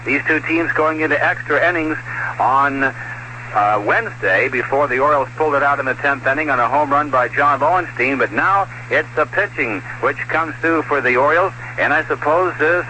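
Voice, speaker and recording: A male baseball broadcaster with a sharp, forceful, somewhat high voice recaps and comments conversationally. It is an old radio recording. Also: poor audio. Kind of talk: unscripted radio game recap and analysis. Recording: hissy 1970s radio broadcast.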